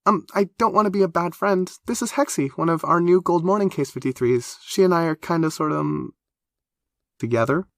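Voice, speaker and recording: A woman's voice: fairly low pitch, brisk, no echo, studio quality, pitched above the performer's own voice, close to an everyday voice. A man reading a story, voicing a bubbly, nervous young woman who gushes and trips over her words. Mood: excited, flustered. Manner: gushing, flustered, loud.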